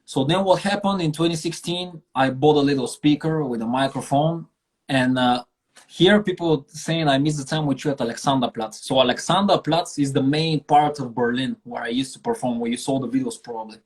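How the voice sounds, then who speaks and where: A man's voice: mid-range voice, fairly quick. A man from Bulgaria telling stories casually as an interview guest, speaking earnestly and emphatically with slang and some swearing. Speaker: interview guest, singer and street performer.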